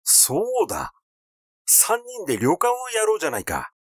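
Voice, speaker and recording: A man voicing a rash, glib, excitable schemer in an audiobook, talking in a blunt, teasing, effusive way. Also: on the high side, normal speed, husky, no room sound, clean studio sound, voice pushed up, exaggerated voice. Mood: excited, enthusiastic. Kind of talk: literary audiobook character dialogue.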